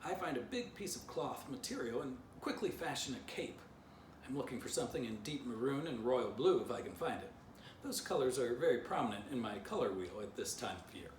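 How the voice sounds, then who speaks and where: Man acting pompous, bossy female dog, animated delivery. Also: mid-range voice, brisk, reverberant, distant mic, a little hiss or hum, pitched above the performer's own voice, close to an everyday voice, rough recording. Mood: self-important. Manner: pompous, self-important, theatrical.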